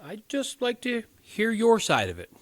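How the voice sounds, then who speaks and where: An actor playing an earnest, inexperienced young crisis counselor in a radio play, hesitant and pleading. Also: male voice, high-pitched, wildly swooping pitch, unhurried, full character voice. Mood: careful, concerned. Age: 20s.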